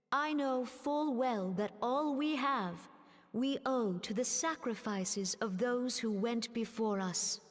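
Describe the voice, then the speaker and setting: An actress playing a solemn, dignified female ruler in a fantasy game, speaking in a stately, formal way. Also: fairly high pitch. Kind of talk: dramatic fantasy game cutscene acting. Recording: long echoing hall reverb.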